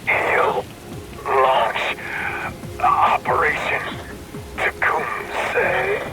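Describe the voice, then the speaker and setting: Slow, taunting cyborg villain. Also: male voice, fairly high pitch, huge pitch swings, vocal fry, over loud music, exaggerated voice. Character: gruff wounded male cyborg villain, mocking. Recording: noisy battlefield with rain. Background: rain and noise.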